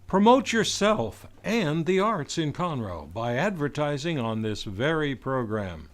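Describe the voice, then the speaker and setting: A man's voice: a little high, big pitch swings, measured pace. A man reading a scripted radio advertisement as an announcer.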